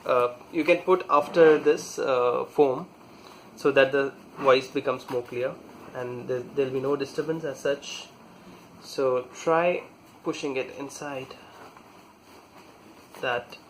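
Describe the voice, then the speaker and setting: A man gives a casual hands-on demonstration as a product reviewer. His pace is slow and halting, with pauses mid-sentence while he works. His voice is medium in pitch, with noise audible behind it. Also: poor audio. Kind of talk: casual hands-on tutorial talk.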